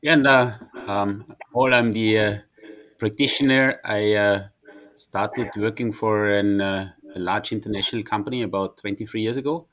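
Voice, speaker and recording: A man in his forties joining an interview podcast as a business practitioner. His voice sits on the low side, and he speaks earnestly, pausing to find his words. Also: fairly even intonation, a bit bright, dry recording. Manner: earnest, halting. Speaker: guest, industry practitioner.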